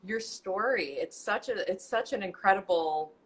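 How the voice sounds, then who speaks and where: A woman's voice: mid-range voice, normal speed. A woman hosting a live class, earnestly introducing her guest and handing over the floor. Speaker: host.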